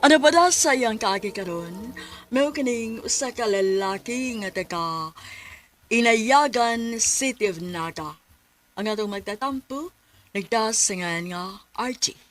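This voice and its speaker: A woman hosting a radio drama program, announcing in a measured, formal way. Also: a little high, animated.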